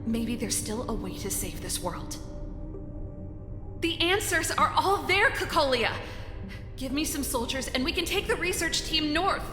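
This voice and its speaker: High-voiced, impassioned young woman researcher. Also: very animated, unhurried, bright, forceful tone, heavily acted. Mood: excited, urgent. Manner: impassioned, dramatic, pleading. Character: passionate, idealistic young woman researcher pleading with a ruler. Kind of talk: video game story voice acting. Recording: echoey reverb with music behind.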